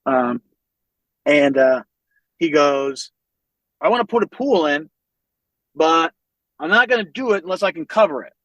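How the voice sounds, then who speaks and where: A man in his forties from the American Midwest tells family stories as a podcast guest. His voice sits a bit high for a man and sounds close and dry. He talks in a lively, loose way, swears freely and laughs through his stories. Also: unhurried, long pauses, no echo, poor audio. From United States, Northwest Indiana. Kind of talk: casual storytelling conversation.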